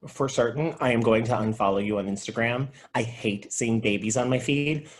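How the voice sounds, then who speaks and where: A man's voice: medium pitch, normal speed, close to an everyday voice. Goofy, chatty male video store clerk, acted. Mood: animated, dramatic. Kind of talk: table read of a comedy TV pilot.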